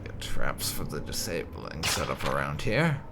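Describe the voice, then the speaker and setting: A man's voice: a bass voice, very animated, measured pace, background noise. A man giving let's play commentary as a gaming host, with dry, sarcastic asides and casual swearing. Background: game music and sounds.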